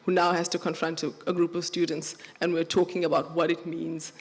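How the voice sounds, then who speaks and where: A woman with a flat Johannesburg accent, speaking as a panelist in a live festival discussion with animated storytelling. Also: lowish voice, a little fast, breathy edge. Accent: Johannesburg South African. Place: festival venue with long reverb. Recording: live hall recording with reverb.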